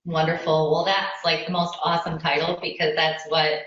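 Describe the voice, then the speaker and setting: A woman's voice: deep voice, flat delivery, normal speed, fairly bright tone. An American woman hosting a casual online interview in an effusive, rambling way. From Indiana, United States. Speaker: host of an online parenting community chat. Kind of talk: casual video chat interview. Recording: very echoey room, distant muffled mic.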